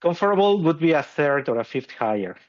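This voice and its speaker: A man's voice: on the high side, big pitch swings, moderate pace, muffled recording. A man hosting a podcast, explaining opera singing technique, chuckling often and restarting his phrases. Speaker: podcast host.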